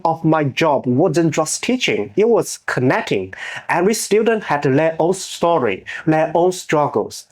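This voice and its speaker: A man in his thirties with a Chinese accent, hosting his own channel and giving earnest, measured, scripted motivational narration with small grammar slips. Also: on the high side. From China. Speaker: channel host.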